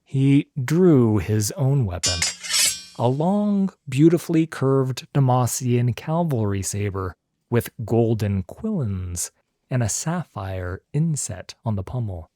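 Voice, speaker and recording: A man reads a dramatic scripted story as narrator. His voice is dark and slow, with wide swings of pitch and deliberate phrasing. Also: sing-song.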